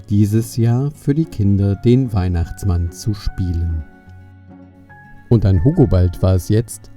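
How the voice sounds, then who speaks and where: A man's voice: on the low side, dark tone. A man narrating a scripted Christmas story for children as its storyteller.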